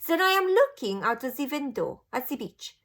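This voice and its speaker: Witch queen, storybook tyrant, high and shrill, loud and slow, shrieking, gravelly edge. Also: sing-song, heavily acted. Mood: screaming. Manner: shrieking, drawn-out slow tirades. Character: cruel bald female witch leader, furious tyrant.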